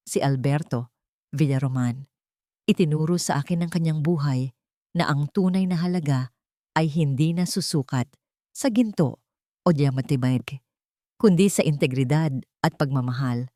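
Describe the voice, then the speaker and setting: A Filipino-accented woman narrates a dramatic first-person story in a low voice. The sound is dry, with very little room to it, and the delivery is that of a scripted audiobook. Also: darkish tone, no echo.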